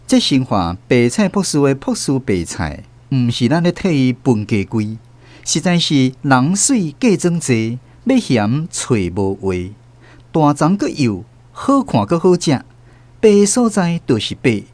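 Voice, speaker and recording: 一位男主持人以台語口音朗讀小說旁白，語調生動。. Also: male voice, on the high side, big pitch swings, measured pace, a little mellow, no echo. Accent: Taiwanese Hokkien. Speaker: host and narrator. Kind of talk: scripted storytelling narration.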